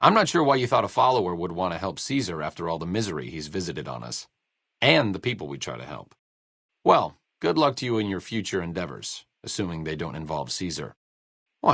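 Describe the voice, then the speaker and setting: Sardonic idealist doctor, bookish, self-deprecating, wordy, lively intonation, dry close studio sound, video game. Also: male voice, low-pitched, brisk, breathy edge, no room sound, exaggerated voice. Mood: dry, disapproving. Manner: dry, sarcastic, wordy delivery.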